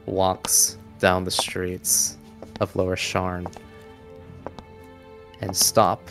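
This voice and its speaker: A man with a low, gravelly voice tells a tabletop game story as its game master. He speaks very slowly and dramatically, letting phrases hang for suspense, with music underneath. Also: monotone, a bit bright. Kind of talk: dramatic scripted-sounding narration of a tabletop game.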